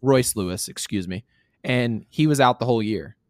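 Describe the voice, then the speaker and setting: A man's voice: mid-range voice, normal speed, dry recording, studio quality. A man in his thirties co-hosting a casual sports talk show, speaking bluntly and emphatically, often repeating himself to make a point. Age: 30s.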